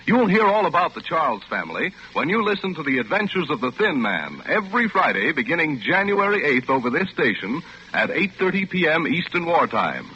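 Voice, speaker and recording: A man announcing a scripted 1940s radio promo with a polished, brisk delivery. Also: fairly high pitch, very animated, pushed and bright. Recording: muffled, faintly noisy 1940s radio recording.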